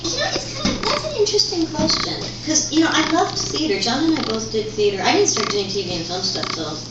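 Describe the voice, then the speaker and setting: A woman's voice: high-pitched, sing-song, fairly quick, dull audio. A middle-aged actress chatting in a rambling, storytelling way, often restarting her sentences. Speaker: actress guest. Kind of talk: casual conversation, telling stories. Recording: echoey room from far off with noise.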